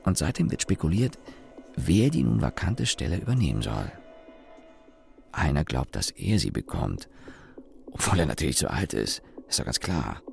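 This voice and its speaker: A man acting as a young, sardonic engineer telling his story in a radio play, wry and deliberate, with pauses and self-corrections. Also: very deep voice, big pitch swings, vocal fry, soft background music, full character voice. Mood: dry, sardonic. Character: young engineer, sardonic company employee recalling his father. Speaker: narrator and lead actor. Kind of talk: German radio play monologue, reflective storytelling.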